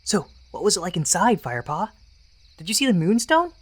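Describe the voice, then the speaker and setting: Man voicing a curious, lively young apprentice cat. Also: high voice, a little fast, breathy edge, heavily acted. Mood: eager, amazed. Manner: eager, inquisitive. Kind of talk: fandub audiobook voice acting.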